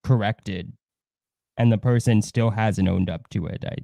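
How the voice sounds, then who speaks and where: A man's voice: on the low side, steady tone, unhurried, creaky, warm tone, no room sound. A man hosting a livestream with casual, opinionated chat, blunt and given to swearing. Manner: blunt, profane. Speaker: livestream host.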